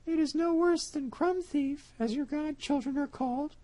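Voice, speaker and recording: A woman's voice: high-pitched, moderate pace, dark tone, voice pushed up, close to an everyday voice. A woman voicing a sly, greedy male cat who talks his way around a trusting mouse. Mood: smug.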